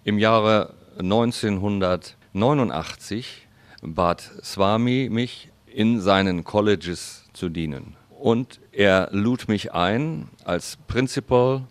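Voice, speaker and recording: A man interpreting an interview into German as a voice-over, speaking slowly and deliberately. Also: low voice, dry recording. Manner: slow, deliberate, careful phrasing.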